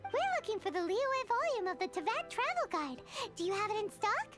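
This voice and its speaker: A woman voicing a tiny chirpy fairy companion in a game, blunt and excitable. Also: very high-pitched, fairly quick, loud music behind the voice. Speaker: game character.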